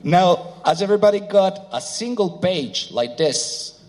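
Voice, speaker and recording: A man's voice: sits high, lively intonation, darkish tone. Non-native English-speaking male seminar speaker. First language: not English. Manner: halting, with many fillers. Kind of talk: conference presentation with interpreter. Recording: hall reverb.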